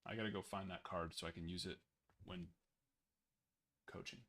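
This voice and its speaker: A man's voice: deep voice, normal speed. Young male card-break host, easygoing, rattles off numbers, voice getting worn. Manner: casual, reads numbers in a steady list. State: losing his voice. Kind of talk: live card break chat.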